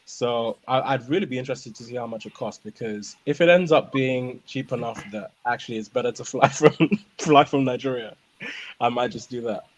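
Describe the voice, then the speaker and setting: A man from the United Kingdom speaking as a panelist in a casual discussion, earnest and animated. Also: medium pitch, a little fast.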